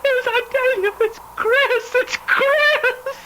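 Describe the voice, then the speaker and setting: Shrieking, hysterical radio drama heroine. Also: female voice, extremely high pitch, extremely slow, exaggerated voice. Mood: hysterical terror. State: crying out in panic. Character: hysterical, terrified woman seeing a dead man. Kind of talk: old-time radio mystery drama acting. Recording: noisy old radio recording.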